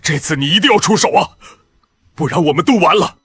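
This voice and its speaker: A man's voice: a little high, lively intonation, a little fast, fairly bright tone, breathy edge, no echo, voice pushed up, exaggerated voice. A man doing a groveling panicked department chief. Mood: desperate pleading. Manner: pleading, desperate.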